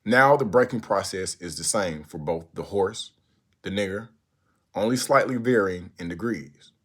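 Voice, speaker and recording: A man with a fairly low voice reads a formal speech aloud. His delivery is deliberate and oratorical, stressing phrases and leaving pauses between them. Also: lively intonation, a bit bright, husky. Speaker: narrator reading a historical speech aloud. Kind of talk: recited speech read aloud.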